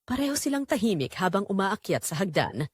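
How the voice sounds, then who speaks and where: A woman's voice: medium pitch, sing-song, brisk, slightly rough, no echo, studio quality. A woman with a Filipino accent reading a scripted dramatic story as the narrator of an audio drama.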